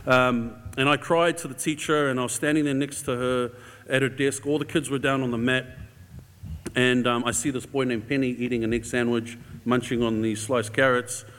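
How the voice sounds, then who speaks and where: A man with a New Zealand accent speaks to a congregation in a big, reverberant hall. His pitch is average for a man. He talks informally and conversationally, feeling his way through a personal story as a visiting speaker. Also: fairly bright tone. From New Zealand, grew up in Auckland. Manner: casual, conversational, hesitant phrasing with tag "eh". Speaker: guest speaker for a charity. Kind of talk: informal church talk, sharing a personal story. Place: large indoor church hall. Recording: echoey hall with long reverb.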